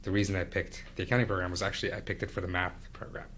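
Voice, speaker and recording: A man talks in a deep voice that stays quite flat. He is a podcast guest, reflecting and explaining at a measured, conversational pace with pauses. Faint background noise sits under the recording. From India and Venezuela, then Canada from age thirteen. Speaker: podcast guest, business executive. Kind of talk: conversational interview, reflective personal storytelling.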